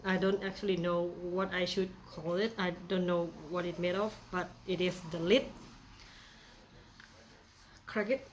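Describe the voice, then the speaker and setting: A woman's voice: lowish voice, fairly even intonation, measured pace, pauses often, a little hiss or hum. Thai accent lady vlogging a how-to, playful, lots of ums, takes her time. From Thailand. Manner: chatty, playful, many fillers. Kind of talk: casual how-to demonstration.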